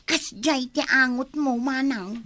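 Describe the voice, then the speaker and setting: Woman acting a nosy ilocano perfume seller, cheeky gossip, highish sharp voice, drags words teasingly, radio comedy. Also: takes it slowly, heavily acted.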